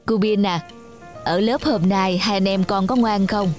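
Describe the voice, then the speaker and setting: A gentle young mother in a children's cartoon, acted by a woman, with a mid-pitched voice. She speaks tenderly and corrects softly, over clearly audible music. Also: steady tone, measured pace, natural voice. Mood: warm, praising. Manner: affectionate, softly admonishing. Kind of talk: animated children's moral tale dubbing.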